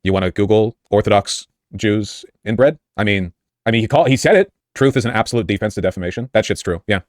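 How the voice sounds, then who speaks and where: A man's voice: lowish voice, fairly quick, no room sound, clean studio sound. A man giving emphatic, argumentative and sarcastic off-the-cuff political commentary as he reacts to a news report.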